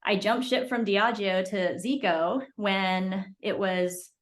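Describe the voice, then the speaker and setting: Woman from Hong Kong, forties, podcast guest, mid pitch, lots of fillers. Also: fairly even intonation, takes it slowly. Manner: chatty, many fillers, laughs between phrases. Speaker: podcast guest, beverage founder. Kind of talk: casual interview chat.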